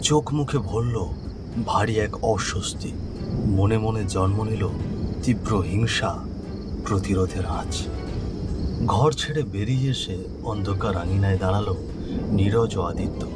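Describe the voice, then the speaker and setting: A man's voice: on the low side, unhurried, pauses often, loud music behind the voice. A man narrating a scripted horror story in a dramatic style.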